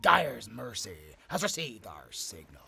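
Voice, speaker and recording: A man's voice: mid-range voice, big pitch swings, measured pace, gravelly, bright, forceful tone, slightly rough, full character voice. A man playing a battle-hardened ship's captain in a nautical audio drama, urgent and theatrical as he commands his crew. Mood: grim, tense.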